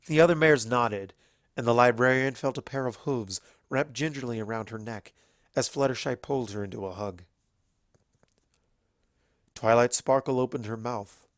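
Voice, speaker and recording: A man's voice: medium pitch, normal speed, long pauses, dry recording. Man narrating a story, very dry sound.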